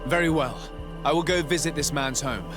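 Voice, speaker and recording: A man's voice: fairly high pitch, normal speed, lightly acted. Man acting a composed, courteous noble diplomat over music. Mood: serious, considering. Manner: formal, articulate. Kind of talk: video game voice acting.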